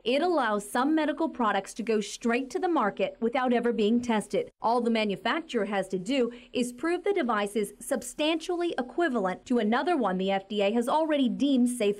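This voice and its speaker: A woman reporter reading scripted narration for a television news investigation. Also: fairly high pitch.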